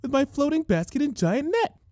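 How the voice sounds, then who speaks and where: Gentle cartoon pegasus mare, voiced by a man, high-pitched, earnest and matter-of-fact. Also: big pitch swings, brisk, dry recording, clean studio sound.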